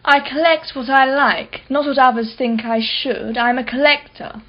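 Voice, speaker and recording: A woman's voice: high voice, steady tone, normal speed, muffled recording. An actress playing a wealthy, condescending and sardonic female art collector in a radio play. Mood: defensive. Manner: condescending, mocking, self-satisfied.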